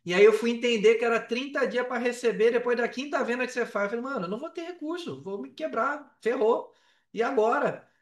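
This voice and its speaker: A Brazilian man with a high voice tells a story in loose, slangy talk, slipping into quoted dialogue as he goes. He sits back from the mic in an echoey room, so the sound is roomy and a little distant. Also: fairly quick. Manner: colloquial, slangy, re-enacts dialogue. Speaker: podcast guest, affiliate marketer. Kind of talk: animated casual storytelling.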